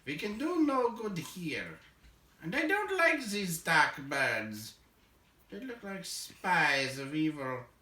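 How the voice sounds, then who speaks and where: A man voicing a sad, grim and wary old dwarf from a storybook, speaking slowly and solemnly. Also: on the high side, very animated, pushed and bright, pitched above the performer's own voice, heavily acted. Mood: uneasy, wary. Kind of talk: storybook character voice in read-aloud. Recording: roomy echo, distant microphone.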